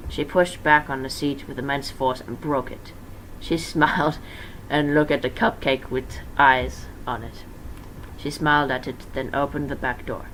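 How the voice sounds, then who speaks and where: A man's voice: mid-range voice, a bit bright, poor audio. A young man reading fan fiction aloud as an informal dramatic narration, breaking off for casual asides. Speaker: amateur fan-fiction reader. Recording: faint background noise.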